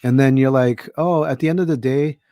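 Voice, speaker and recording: A man's voice: medium pitch, steady tone, brisk, mellow, dry recording, clean studio sound. A middle-aged man from Hawaii, an author guesting on a podcast, talking in a thoughtful, rambling way with many fillers. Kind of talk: casual podcast conversation.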